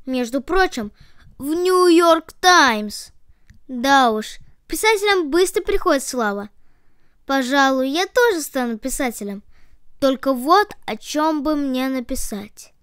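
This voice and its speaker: A woman voicing a curious, excitable male rabbit in a children's story. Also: sits high, no room sound, studio quality. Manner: eager, lively questioning.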